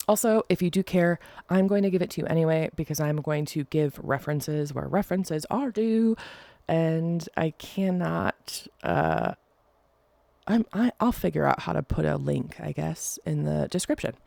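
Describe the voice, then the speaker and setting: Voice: mid-range voice, creaky, darkish tone, dry recording. A podcast host with a congested, nasal voice, talking casually and rambling into playful asides while recording alone at home. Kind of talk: casual solo podcast talk.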